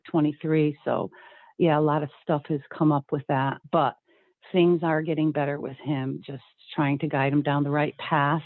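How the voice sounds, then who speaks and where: A woman's voice: low voice, no echo. A middle-aged woman sharing personal things casually and earnestly over a video call. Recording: very thin, phone-like call audio.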